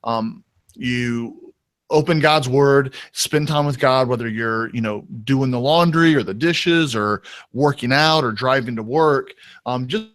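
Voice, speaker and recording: A man's voice: medium pitch, a little slow, fairly bright tone, no echo. A man, a guest on a podcast roundtable, talking earnestly and rambling, often correcting himself mid-sentence. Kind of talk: casual roundtable chat.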